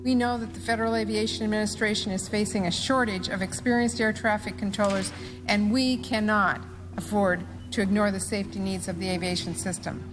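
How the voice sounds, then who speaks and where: A woman's voice: mid-range voice, fairly even intonation, a little fast. A woman giving a formal public statement as an official. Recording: reverberant hall under music.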